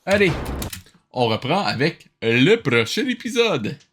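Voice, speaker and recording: A man's voice: fairly high pitch, very animated, takes it slowly, fairly bright tone, slight background noise. A man with a Québécois accent giving casual, mocking, incredulous commentary as host of a cartoon review.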